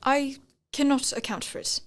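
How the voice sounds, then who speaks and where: Woman playing skeptical high-voiced astronomy professor. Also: steady tone, moderate pace, natural voice. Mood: dismissive. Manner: formal, dismissive, scholarly. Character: self-assured, skeptical female astronomy professor.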